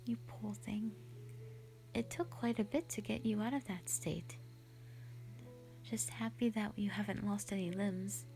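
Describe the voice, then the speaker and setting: Woman playing a slow-spoken, gentle shy dragon lady. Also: medium pitch, steady tone, faint music behind. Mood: caring, curious. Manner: soft, breathy, hesitant, sweet and earnest. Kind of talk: roleplay audio drama, intimate one-sided dialogue.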